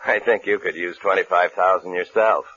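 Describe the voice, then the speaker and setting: An actor playing a cool, scheming fugitive husband who narrates wryly in a 1950s radio suspense drama, with an American accent. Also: male voice, sits low, fairly even intonation, moderate pace, pushed and bright, slightly rough, exaggerated voice. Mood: calm, calculating. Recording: muffled old radio recording.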